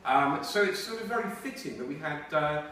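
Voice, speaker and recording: A man reading aloud from a novel to listeners in a measured storytelling way. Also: a little high, animated, pushed and bright, a little hiss or hum. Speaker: reader at a book reading. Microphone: distant microphone. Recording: very echoey hall, far from microphone.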